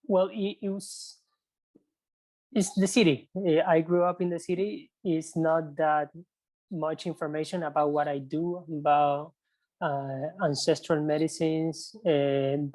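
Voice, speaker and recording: A young man from Ecuador, speaking English as an as a podcast guest in a hesitant, halting way with many fillers. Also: fairly high pitch, fairly even intonation, a little mellow, low-quality recording. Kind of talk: casual interview conversation.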